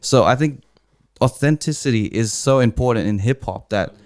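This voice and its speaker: A man's voice: mid-range voice, darkish tone, no room sound. Young man with an American-sounding accent. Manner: earnest, quick, leans on fillers. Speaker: hip hop artist guest. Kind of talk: casual podcast chat.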